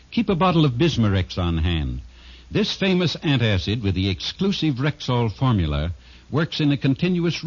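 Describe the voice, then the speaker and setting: A man's voice: on the low side, darkish tone, slightly rough. A man reading a scripted 1950s radio advertisement as announcer, in a polished, emphatic sales delivery. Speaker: commercial announcer. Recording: muffled old radio broadcast recording.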